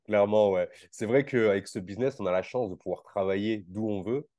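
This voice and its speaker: A man's voice: on the low side, brisk, warm tone, dry recording, muffled recording. A man in his late twenties hosting a casual interview from his bedroom, with an informal, slangy way of talking. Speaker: interviewer and host. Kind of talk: casual video call interview. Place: bedroom at home.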